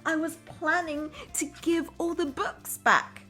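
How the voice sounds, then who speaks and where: A woman's voice: extremely high pitch, fairly even intonation, measured pace, bright, forceful tone, soft background music, voice pushed up, exaggerated voice. A woman voicing a sheepish male book thief, pleading and sniffly, in a children's storybook.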